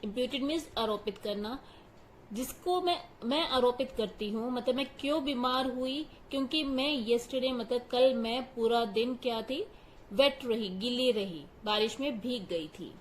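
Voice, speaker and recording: A woman's voice: a little high, measured pace, a little hiss or hum. A woman teacher patiently explaining a story line by line, glossing each phrase in simple words. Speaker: English teacher.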